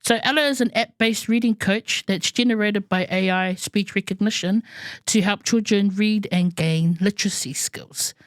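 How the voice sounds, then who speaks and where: A woman's voice: mid-range voice, moderate pace, no echo. Laughing chatty New woman podcaster. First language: Samoan. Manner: chatty, laughing, colloquial asides. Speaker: podcast co-host. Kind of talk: casual podcast chat.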